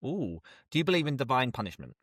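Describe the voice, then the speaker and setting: A man's voice: medium pitch, big pitch swings, fast talker, fairly bright tone, no echo, studio quality. A man hosting a podcast, asking questions in a casual, bantering interview style. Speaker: podcast host.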